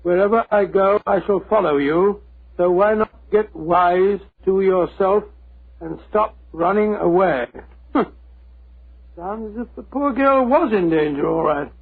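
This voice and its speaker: A man playing an amiable older English gentleman sidekick, blustery and stammering, in a 1940s radio drama. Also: high voice, unhurried, gravelly, husky, heavily acted. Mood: concerned. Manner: blustery, stammering, easily astonished. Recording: muffled narrow-band old radio recording.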